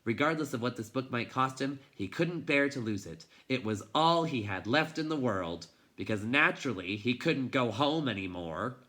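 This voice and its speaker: A man reading a children's novel aloud in an expressive, dramatic way, moving between hushed and intense delivery. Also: medium pitch, normal speed, few pauses. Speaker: teacher reading a storybook aloud.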